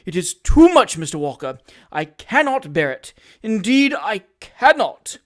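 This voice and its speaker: A reader doing a whining, simpering young manservant. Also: male voice, high voice, very animated, measured pace. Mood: gushing. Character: hypocritical sly young manservant, tearful and fawning.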